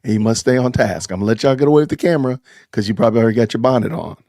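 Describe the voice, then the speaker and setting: A man hosting a casual livestream chat, excitable and loud in his reactions. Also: mid-range voice, very fast, dry recording, studio quality. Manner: excitable, exclaims loudly. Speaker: livestream host.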